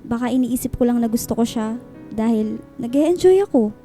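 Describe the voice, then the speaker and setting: An actress playing a chatty young student who is unsure in love, in a radio drama, with a Filipino accent. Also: female voice, a little high, lively intonation, normal speed, mellow, soft background music, natural voice. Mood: unsure, confiding. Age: young adult. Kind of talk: radio drama acting with English code-switching.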